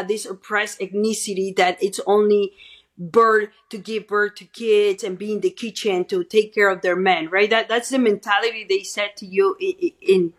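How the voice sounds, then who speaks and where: Middle-aged Mexican-accented woman, Spanish native, husky; earnest guest who keeps checking in with "right?". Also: medium pitch. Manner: earnest, tags statements with "right?". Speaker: guest, pro-life speaker. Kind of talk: interview answers.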